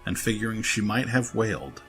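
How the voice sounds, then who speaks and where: A man's voice: on the low side, expressive, a little fast, fairly bright tone, soft background music, rough recording. A man reading scripted narration for a fantasy adventure story.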